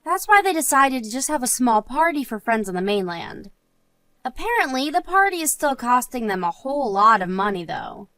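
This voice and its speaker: A woman's voice: on the high side, big pitch swings, moderate pace, bright, forceful tone, dry recording. A woman playing an earnest married woman and loyal friend in a scripted story drama. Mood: calm, chatty.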